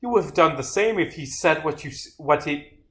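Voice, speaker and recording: A man's voice: on the high side, expressive, normal speed, fairly bright tone, pitched above the performer's own voice. Man voicing a frantic, bitter cornered murderess. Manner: outraged, stammering, repetitive.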